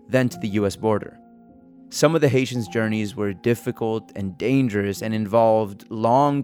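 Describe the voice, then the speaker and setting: A man reporting and hosting in a scripted narration style. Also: on the low side. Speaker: podcast host and reporter. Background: music bed.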